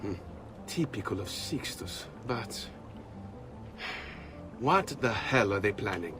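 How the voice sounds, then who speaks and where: Sly master thief, Italian accent, widely swinging intonation, teasing, video game drama, faint ambient noise. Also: male voice, fairly low pitch, animated, slow pace, a bit bright. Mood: grave, concerned. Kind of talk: video game cutscene acting.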